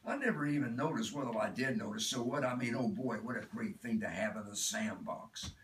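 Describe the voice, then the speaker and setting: A man's voice: medium pitch, lively intonation, a little fast, fairly bright tone. A man performing a dramatic monologue as an earnest, chatty, self-absorbed do-gooder, speaking in a confiding, emphatic way. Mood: sympathetic. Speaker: author reading own work. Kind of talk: dramatic first-person monologue reading. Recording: roomy, distant mic, faint noise.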